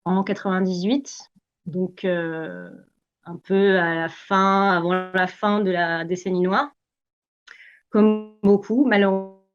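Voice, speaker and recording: A woman's voice: on the low side, fairly even intonation, slow pace, smooth, dull audio. A woman in her forties from Algeria, a campaigner answering interview questions earnestly, with many hesitations and restarts. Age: 40s. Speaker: Greenpeace campaigner, guest.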